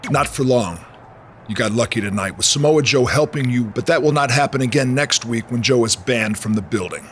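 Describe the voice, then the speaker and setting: An actor playing an irritated, menacing wrestling boss in a video game cutscene, speaking curtly. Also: male voice, medium pitch, brisk, fairly bright tone, a little hiss or hum, close to an everyday voice. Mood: stern, irritated. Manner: curt, threatening.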